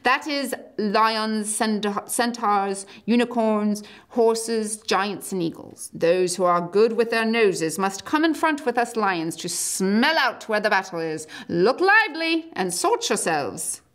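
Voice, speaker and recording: A woman voicing a noble male lion who gives orders with calm authority. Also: medium pitch, takes it slowly, hardly any pauses. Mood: commanding. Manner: commanding, authoritative.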